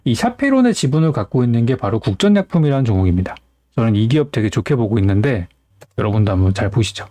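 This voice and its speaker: Male finance show host, informal and opinionated, very varied intonation, relaxed timbre, rhetorical questions. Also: medium pitch, fast, no echo. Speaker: stock market analyst hosting a daily show. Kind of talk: informal market commentary to viewers.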